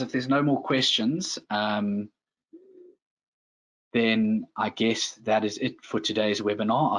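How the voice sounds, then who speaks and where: Low-voiced South African man presenting. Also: rough recording. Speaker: webinar presenter, trading instructor. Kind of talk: informal live tutorial.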